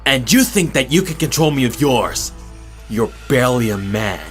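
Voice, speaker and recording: A man's voice: medium pitch, sing-song, normal speed, a bit bright, soft background music. Intense, swooping ninja police chief played by a man. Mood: bitter defiance. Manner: intense, commanding. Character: proud, defiant male police chief ninja. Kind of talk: audio drama acting.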